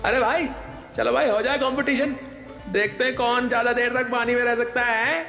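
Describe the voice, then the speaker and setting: A man voicing a squeaky, cheeky young male slacker who talks in street slang in a cartoon story. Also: level tone, normal speed, vocal fry, roomy sound, long reverb tail, music in the background. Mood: excited. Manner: colloquial street slang, drawling pleas. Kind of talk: animated story character dialogue.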